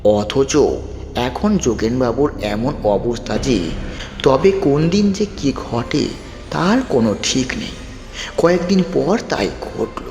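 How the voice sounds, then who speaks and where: Dramatic male ghost-story narrator, swooping pitch. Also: expressive, slow, a little mellow. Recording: roomy long reverb, music bed.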